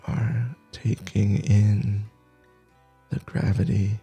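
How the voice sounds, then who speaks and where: Male meditation guide: dark, relaxed, breathy delivery in halting phrases, soft-spoken. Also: lowish voice, faint music behind. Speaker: meditation teacher.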